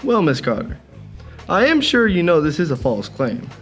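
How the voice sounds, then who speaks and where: Music plays under a man acting a corrupt mayor in a radio play. He is smooth and slyly threatening, with lively swings in pitch. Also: normal speed. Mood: veiled menace. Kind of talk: amateur radio drama acting.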